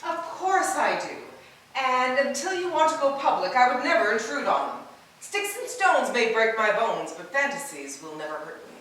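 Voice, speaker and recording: A woman acts out a wistful, rapturous wife in a stage play, her voice fairly high. She rehearses in a very echoey room, picked up by a distant mic with faint noise behind. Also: big pitch swings, moderate pace, a bit bright. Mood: cool, gracious. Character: wistful wife rapturous over her imaginary old lover.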